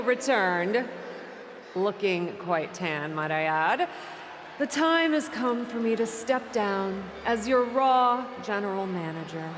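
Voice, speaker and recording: A woman's voice: a little high, long reverb tail, a little hiss or hum. An actress voicing a smug, sarcastic female wrestler who is stepping down as general manager, in a video game cutscene.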